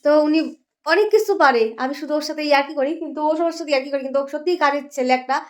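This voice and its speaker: A woman's voice: sits high, racing, darkish tone. A woman doing domestic comedy banter as a nagging, argumentative wife. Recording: noisy home-video sound.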